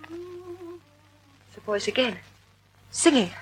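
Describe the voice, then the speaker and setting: A woman's voice: very high voice, expressive, extremely slow, crowd noise, full character voice, poor audio. An actress playing an elegant, nervous and loyal lady companion to a sleuth in a 1940s-style radio mystery. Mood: frightened. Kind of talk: 1940s radio drama acting.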